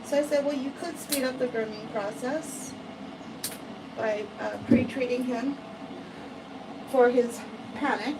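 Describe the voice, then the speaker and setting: American woman, cat groomer, slow talker, higher voice, distant mic, loud background noise. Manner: chatty, slow, often adds "right?". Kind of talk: casual livestream grooming demo. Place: grooming salon, at the bath.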